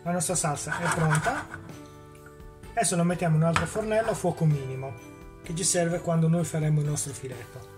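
A man's voice: fairly high pitch, fairly quick, music in the background. A man hosting a cooking demonstration in a home kitchen, explaining each step and answering his own questions. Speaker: cooking show host.

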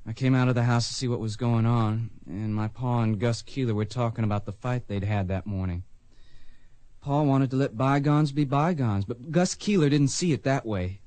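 A man's voice: medium pitch, normal speed, darkish tone, no echo, close to an everyday voice. Earnest blind frontier youth played by a man, dry sound. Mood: sorrowful, earnest. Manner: plain-spoken, polite, emotional. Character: earnest blind youth of the Old West, grieving son. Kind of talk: radio drama acting, western.

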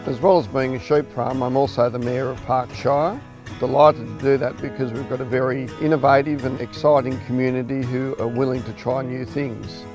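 Australian farmer over clear music. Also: male voice, mid-range voice, fairly even intonation, normal speed. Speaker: sheep farmer and mayor. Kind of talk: documentary interview. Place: open farm paddock, outdoors.

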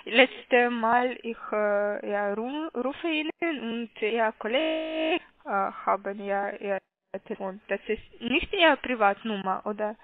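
A woman with a foreign accent works through a sales call at a slow, hesitant pace. Her voice sits fairly high and is squeezed by a narrow phone line. She takes care over each thing she spells out. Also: no room sound. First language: not German. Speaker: call centre caller.

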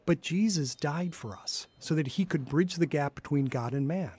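An earnest young pastor speaks in a fairly high male voice. He is gentle and instructive, in the manner of a radio drama. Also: moderate pace, husky. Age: young adult.

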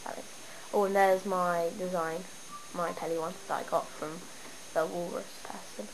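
A young woman with a stuffy cold, chatting and rambling excitedly as she shows off her game town at home. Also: lowish voice, normal speed. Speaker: gamer showing her town. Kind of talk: casual game walkthrough. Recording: noisy home camera recording. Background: steady background noise.

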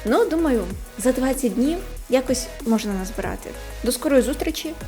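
A woman gaming vlogger chatting casually to viewers as she plays, thinking aloud in a halting way. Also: high voice, expressive, warm tone. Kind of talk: casual gacha-pull commentary to viewers. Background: music.

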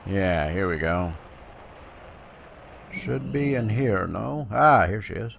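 A man's voice: lowish voice, animated, slow pace, vocal fry, lo-fi sound, slight background noise. A man giving casual, playful gameplay commentary in a chatty, slangy way, sometimes reading quest text aloud. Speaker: video game player and commentator.

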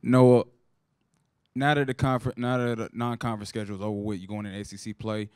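A man's voice: on the low side, little pitch movement, fairly quick, a little mellow, clear, resonant tone, no room sound. A male reporter asking casual, rambling questions at a press conference.

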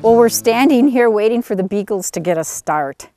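A woman's voice: fairly high pitch, animated, moderate pace, warm tone, soft background music. A middle-aged woman chatting plainly about her hunting dogs outdoors in snowy woods, with lots of uhs, recorded on a camera mic. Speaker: beagle owner. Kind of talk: casual outdoor interview.

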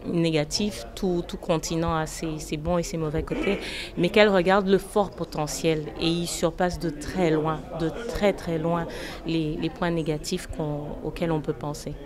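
A woman's voice: sits low, fairly even intonation, normal speed. A woman in her thirties answering an interview as an event participant, a bit hesitant and repeating words. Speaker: convention participant. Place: hotel conference hall, indoors. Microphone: handheld reporter microphone. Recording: busy crowd chatter behind.

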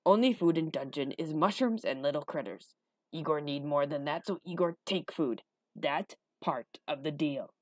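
A woman voicing an ancient male hunchbacked dungeon dweller in a storybook, with a low gravelly growl and halting, broken, childlike speech. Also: no room sound, clean studio sound. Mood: threatening, indignant.

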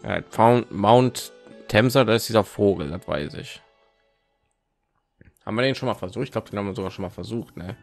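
A man chats casually over his gameplay, rambling and thinking aloud. His voice sits a little low, and there is some phlegm in his throat. Faint game music plays behind. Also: brisk, gravelly, long pauses, darkish tone. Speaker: let's play host. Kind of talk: casual gaming commentary.

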